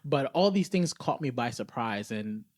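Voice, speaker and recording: A man's voice: mid-range voice, animated, normal speed, a little mellow, no room sound, clean studio sound. A man co-hosting a podcast, talking in a reflective, conversational way, earnestly explaining and thinking aloud. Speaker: podcast co-host.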